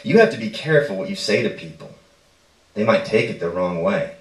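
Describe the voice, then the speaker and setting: A man's voice: lowish voice, animated, fairly quick, lightly acted. A man voicing a thin, menacing man who bullies and taunts a boy in a story reading. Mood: menacing calm. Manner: taunting, intimidating. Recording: echoey room, distant microphone.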